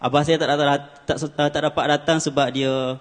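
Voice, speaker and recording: Young guy giving an earnest award speech, slightly high voice, lots of uhs, long hall echo. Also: level tone, a little fast. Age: 20s. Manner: earnest, many hesitant fillers. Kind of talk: formal stage speech. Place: large ceremony hall. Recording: hall reverb.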